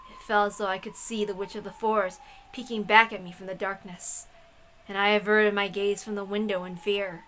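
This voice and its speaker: A woman giving a dramatic, theatrical narrated reading of a story in an expressive manner. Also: mid-range voice, slight background noise, low-quality recording. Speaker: reader of a visual novel.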